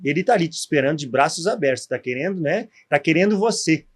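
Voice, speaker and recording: Gaúcho man, 40s, ex-footballer guest, slightly high voice, chatty storyteller. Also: lively intonation, fast talker. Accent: gaúcho Portuguese. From southern Brazil. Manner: storytelling, frequent tag questions. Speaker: guest, former pro footballer. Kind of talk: podcast interview.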